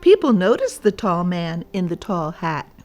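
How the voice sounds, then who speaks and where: A woman reading a storybook aloud for children, deliberately and addressed straight to young listeners. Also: lowish voice, sing-song, a little mellow, soft background music.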